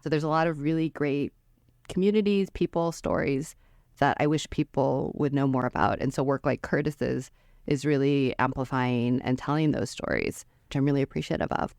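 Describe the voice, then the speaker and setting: A woman's voice: a bass voice, steady tone, moderate pace, vocal fry, a little mellow, no echo. Thoughtful academic woman, dry sound. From Metro Detroit. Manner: thoughtful, explanatory, with "you know" and "I think". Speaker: professor and researcher guest. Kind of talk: academic conversation.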